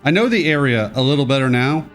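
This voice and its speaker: A man's voice: medium pitch, animated, fast, over music. A congested young man giving casual, sarcastic gameplay commentary as a let's play host.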